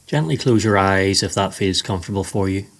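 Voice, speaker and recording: A man narrating a scripted guided meditation in a slow, soothing, measured way. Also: sits low, a bit bright.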